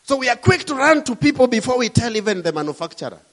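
A man's voice: extremely high pitch, sing-song, fast talker, a bit bright. A middle-aged man from Kenya with a Kenyan accent, preaching a sermon with emphatic, rising and falling delivery.

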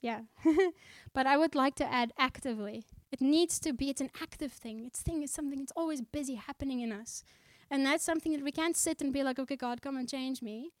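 A woman from South Africa preaching a sermon in the Netherlands. She speaks earnestly and conversationally, slowing down when she reads scripture aloud. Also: sits high, no echo. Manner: conversational, with fillers and restarts.

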